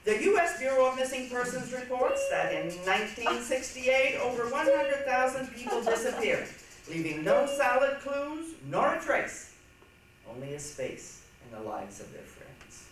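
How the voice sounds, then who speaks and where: A woman performing dramatic monologues and recitation on stage with expressive, theatrical comic timing. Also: fairly high pitch, unhurried. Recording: echoey hall from far off, faint noise.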